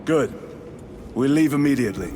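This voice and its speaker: A man's voice: medium pitch, expressive, normal speed, dark tone, a little hiss or hum, lightly acted. An actor playing a terse male warrior lieutenant, centuries old, who answers in curt lines in a game.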